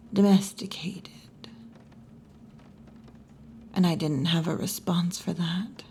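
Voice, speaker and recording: A wry, muscular tomboy wolf girl bartender, played by a woman in an ASMR roleplay. She teases in a low, mellow, breathy near-whisper and takes her time, with very long, slow phrasing. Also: a little hiss or hum. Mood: wistful. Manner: slow, unhurried, wry and teasing.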